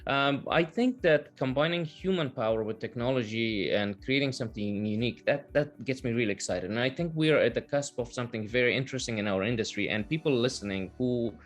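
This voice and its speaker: A man whose first language is Persian, giving measured, earnest interview answers with filler pauses over a video call. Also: medium pitch. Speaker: guest, translation business owner.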